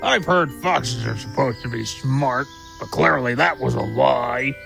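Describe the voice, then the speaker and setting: A man's voice: mid-range voice, a little slow, creaky, over music. A man voicing a sly, mean, gossiping squirrel in an audio drama, flippant and boastful as he tells his tales. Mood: cocky, impatient. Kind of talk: audio drama character acting.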